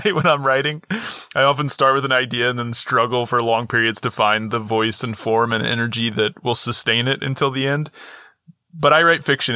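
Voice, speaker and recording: A man who writes fiction, interviewing a poet and asking measured, conversational questions. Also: medium pitch, pushed and bright, no room sound, clean studio sound.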